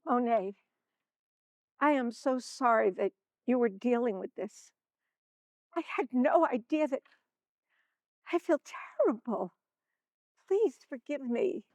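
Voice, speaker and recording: A woman's voice: fairly high pitch, expressive, takes it slowly, long pauses, darkish tone, no echo. A woman playing a remorseful, apologetic woman in an emotional stage play.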